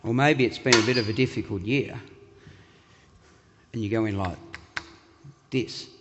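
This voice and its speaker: A man's voice: fairly low pitch, unhurried, lo-fi sound, background noise. A man, preaching to his congregation in a joking, self-deprecating way. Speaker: pastor. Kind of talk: sermon. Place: church hall. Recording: reverberant hall.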